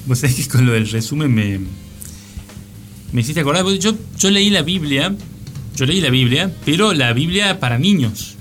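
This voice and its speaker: Higher-voiced Argentine man over music. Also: lively intonation, moderate pace, fairly bright tone. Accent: Argentine Spanish. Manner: colloquial, swears freely. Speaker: radio show panelist. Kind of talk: casual comic chat. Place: radio studio with music behind.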